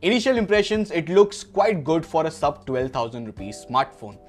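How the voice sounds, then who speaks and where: Higher-voiced Indian man reviewing tech. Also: moderate pace, faint music behind. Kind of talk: scripted unboxing review narration.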